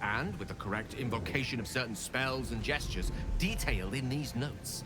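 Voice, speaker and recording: An actor playing an ambitious, earnest wizard scholar in a video game, eloquent, persuasive and grandiose. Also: male voice, mid-range voice, moderate pace, over music, natural voice. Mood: musing.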